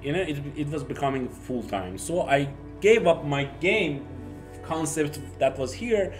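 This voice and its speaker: A young man from Iran, a native Persian speaker, chatting casually to camera in halting, Persian-accented English as a YouTube host. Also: fairly high pitch, animated, faint music behind. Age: young adult. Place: small home office, indoors.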